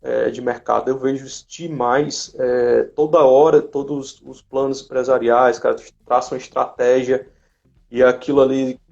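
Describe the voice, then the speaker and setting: A Brazilian man chats informally as host of a live stream. His medium-pitched voice has a lot of gravelly fry in it. The audio is dull and lo-fi. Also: little pitch movement, normal speed, darkish tone. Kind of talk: casual conversation.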